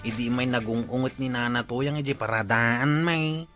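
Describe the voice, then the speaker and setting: An actor playing a complaining village tricycle driver in a radio comedy drama, with an Ilocano accent. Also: male voice, medium pitch, fairly even intonation, normal speed, over music, lightly acted.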